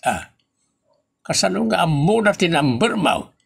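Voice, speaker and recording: A man's voice: on the high side, sing-song, a little slow, gravelly, husky, full character voice. A man playing a grumbling, sceptical husband in an Ilocano comedy audio drama, emphatic and with an Ilocano accent.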